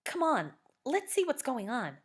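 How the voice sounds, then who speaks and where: A woman's voice: high-pitched, huge pitch swings, measured pace, slightly rough, voice pushed up, full character voice. A woman voicing a teasing, amused young boy in a storybook reading. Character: laughing boy friend in an alien costume.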